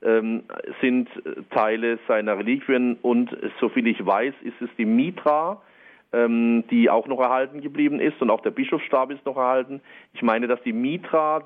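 An older man, a pastor, explaining things in a friendly way while speaking as a guest by phone on a radio show. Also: mid-range voice. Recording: tinny phone line.

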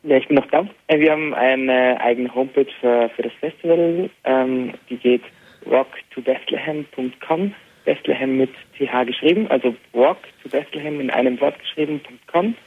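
A young man with Arabic as his first language and an Austrian German accent talks unhurriedly, padding his answers with filler sounds. His gravelly voice comes over a thin, tinny phone line. A faint hiss sits underneath. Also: mid-range voice. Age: young adult. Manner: relaxed, many filler pauses. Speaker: interview guest, band musician. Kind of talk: phoned-in radio interview. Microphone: telephone.

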